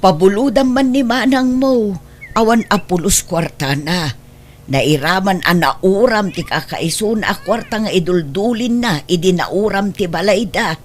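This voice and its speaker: A woman's voice: mid-range voice, lively intonation, a little slow, a little hiss or hum, natural voice. A woman with an Ilocano accent acting a worried older mother of grown daughters in an audio drama, speaking with motherly concern.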